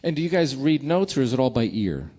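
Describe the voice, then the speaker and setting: A man's voice: medium pitch, sing-song, fairly quick, darkish tone, long reverb tail. A man hosting a live radio show, interviewing guests in a folksy, conversational way. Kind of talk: casual live onstage interview.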